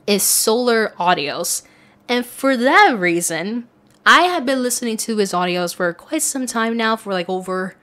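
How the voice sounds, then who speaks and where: A woman's voice: a little high, a bit bright. Young woman chatting through a casual Q&A. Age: young adult. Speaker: audio roleplay content creator and voice performer. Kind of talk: casual Q&A talk to followers.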